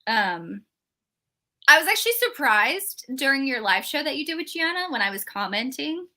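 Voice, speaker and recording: A woman's voice: high-pitched, big pitch swings, moderate pace, bright, forceful tone. A woman co-hosting a live book readalong show, chatting casually and animatedly with a friend. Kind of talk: casual chat between friends.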